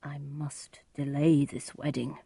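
A woman's voice: low voice, big pitch swings, very slow, warm tone, no room sound, clean studio sound, exaggerated voice. A woman voicing a grave, troubled male friar with a hesitant manner in a dramatized storybook reading.

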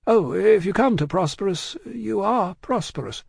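A man voicing a discreet, anxious elderly bank man from a classic novel, polite, hesitant and measured. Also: high voice, animated, gravelly, husky, no room sound, clean studio sound, pitched above the performer's own voice, heavily acted. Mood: placating. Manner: hesitant, courteous, measured, fussy. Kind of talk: acted audiobook dialogue from a classic novel.

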